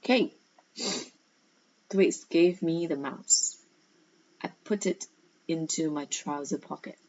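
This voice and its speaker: Lower-pitched woman teacher, measured and instructive. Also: a little mellow. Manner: instructive, rhetorical questions with emphatic key words. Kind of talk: guided read-aloud lesson with questions and explanations. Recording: dull, lo-fi sound.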